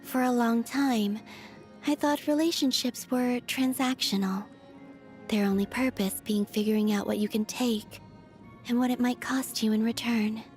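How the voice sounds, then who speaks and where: Woman voicing young moon maiden, video game character, high soft breathy voice, gentle, wistful, measured storytelling, faint music behind. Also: fairly even intonation, lightly acted. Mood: tender, wistful.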